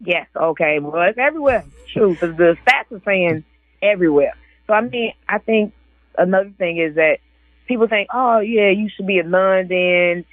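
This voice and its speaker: A woman's voice: medium pitch, moderate pace, dry recording. A woman in her forties, an author and guest on a casual radio interview, chatty and laughing often as she quotes other people. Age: 40s. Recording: dry tinny phone line.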